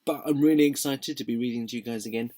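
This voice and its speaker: A slightly hoarse young man reading a children's book aloud in a friendly, gentle manner. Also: mid-range voice, fast talker. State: slightly hoarse from a sore throat.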